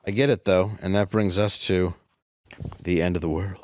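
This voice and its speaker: A man with a deep, bassy voice hosting a casual comedy podcast and tossing out dry, jokey asides. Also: fairly even intonation, moderate pace, no echo. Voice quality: deep bass voice. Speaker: podcast host.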